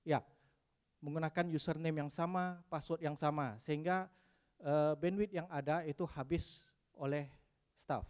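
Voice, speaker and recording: A man from West Sumatra giving a technical conference presentation, pausing often with "eh" and dropping in English terms. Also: fairly high pitch, steady tone, darkish tone, clear, resonant tone. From West Sumatra, Indonesia. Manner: hesitant, frequent "eh" fillers, mixes in English terms.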